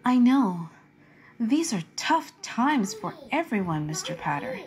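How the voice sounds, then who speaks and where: A woman's voice: on the high side, big pitch swings, slow, a crowd in the background. A woman playing a harried director of policy who answers calls from home and politely turns the caller down. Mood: officious. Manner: polite, officious.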